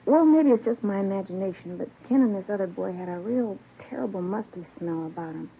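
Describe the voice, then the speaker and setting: A woman playing an earnest, hesitant teenage high school girl in a vintage radio drama. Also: animated, fairly quick, vocal fry. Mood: uneasy, earnest. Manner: hesitant, innocent, trailing afterthoughts. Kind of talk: 1950s radio drama acting. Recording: tinny, faintly noisy vintage radio recording.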